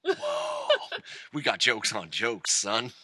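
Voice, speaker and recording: A man's voice: medium pitch, wildly swooping pitch, slow pace, bright, forceful tone, breathy edge, no echo. A man co-hosting a casual podcast, joking and rambling with self-mocking asides. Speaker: podcast co-host. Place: rural home studio.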